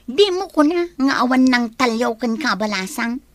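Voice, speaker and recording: A woman's voice: fairly high pitch, expressive, normal speed, no room sound, lightly acted. A woman with an Ilocano accent playing a wistful, jealous office secretary in a radio drama, pouty and defensive.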